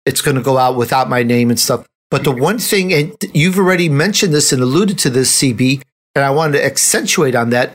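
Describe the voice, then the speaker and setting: A man hosting a conversational interview, talking as an author among fellow writers. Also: on the high side. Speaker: interview host and nonfiction author.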